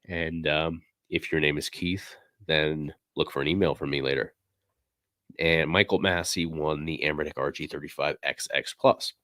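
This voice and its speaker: A man with a very low, deep voice hosts a laid-back livestream, his voice a little raspy and hoarse as he starts to lose it. He rambles easily with plenty of ums and you-knows, close to the mic in a dry, dead room. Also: moderate pace, dry recording. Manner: relaxed, rambling, many fillers. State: slightly hoarse, losing voice. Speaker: livestream host. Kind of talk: casual livestream chat.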